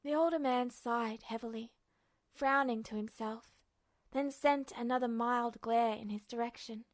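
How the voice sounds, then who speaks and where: A woman narrates prose fiction in a fairly high voice. She reads from a script in audiobook style, as the storyteller of a fan fiction recording. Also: fairly even intonation, measured pace.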